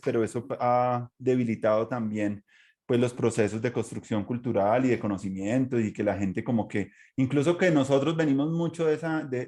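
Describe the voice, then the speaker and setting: A man's voice: mid-range voice, warm tone. A man with a Colombian accent hosting a casual conversation, moving through long, loosely linked trains of thought. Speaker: interview host.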